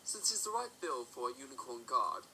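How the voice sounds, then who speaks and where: A man's voice: mid-range voice, sing-song, brisk, sharp tone, slight background noise, full character voice, poor audio. A man playing a dryly polite unicorn guard. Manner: formal, polite, dry wit. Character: courteous adult male unicorn guard, dryly witty. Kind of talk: fan audio drama acting.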